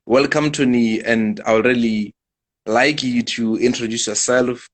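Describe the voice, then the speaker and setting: A man hosting a live-stream interview, speaking in a measured way and leaning on repeated set phrases. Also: medium pitch, steady tone. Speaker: host.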